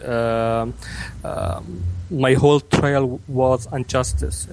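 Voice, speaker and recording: A man in his twenties, from Egypt and raised in Kuwait, answering interview questions hesitantly with many pauses and restarts. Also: medium pitch, darkish tone, a little hiss or hum, poor audio. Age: 20s. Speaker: interview guest. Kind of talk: remote interview.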